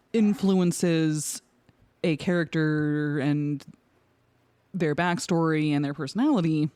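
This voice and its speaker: A woman's voice: sits low, darkish tone, dry recording. Woman chatting casually, close dry sound. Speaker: podcast guest. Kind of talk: casual chat, telling personal stories.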